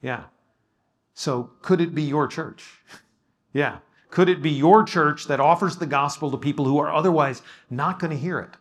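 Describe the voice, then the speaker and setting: A man's voice: a little high, normal speed. Casual man leading a group discussion. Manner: casual, self-effacing, with frequent ums and restarts. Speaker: pastor leading a workshop session. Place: room with a live audience.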